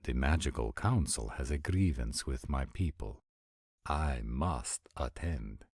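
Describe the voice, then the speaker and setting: An actor playing a formal, dutiful vampire prince, patient but weary, with a husky voice and measured wording in a roleplay audio drama. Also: male voice, rumbling low, animated, a little mellow, dry recording, studio quality, heavily acted. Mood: stern, defensive.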